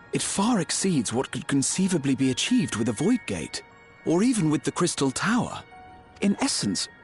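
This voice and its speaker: An actor voicing a self-assured young scholar in a video game, explaining things in formal, precise, deliberate phrases. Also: male voice, medium pitch, close to an everyday voice. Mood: intrigued, analytical. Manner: articulate, formal, deliberate lecturing delivery. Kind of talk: scripted video game exposition.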